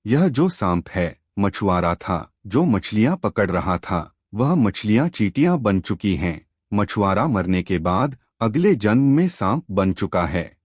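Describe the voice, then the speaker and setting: A man voicing a calm elderly sage who admonishes his disciple in a measured way. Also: fairly low pitch, slightly rough, no room sound, clean studio sound, full character voice. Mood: explaining. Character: calm elderly male sage, patient and stern.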